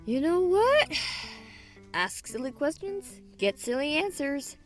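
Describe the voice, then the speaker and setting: A woman's voice: sits high, very animated, takes it slowly, faint music behind, heavily acted. A woman playing a kind, poised young female student with a dry wit in an audio drama. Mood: wry.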